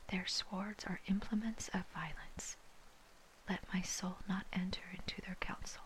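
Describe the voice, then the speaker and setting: A woman reading scripture in a soft, breathy, unvoiced whisper, ASMR style, with measured phrasing. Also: on the low side, level tone, poor audio. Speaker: scripture reader.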